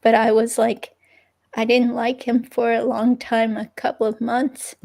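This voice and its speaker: A woman's voice: a little high, little pitch movement, measured pace, gravelly, a little mellow. A woman reading the part of a hurt ex-girlfriend in a police interview, hesitant and rambling, in a conversational dramatic reading. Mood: conflicted, unsure. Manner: hesitant, rambling, filler-laden.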